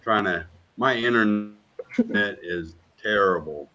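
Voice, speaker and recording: A man on a video call, explaining things slowly and haltingly. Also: lowish voice, bright, forceful tone. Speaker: guest. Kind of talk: casual video call chat. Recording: dull lo-fi video call audio.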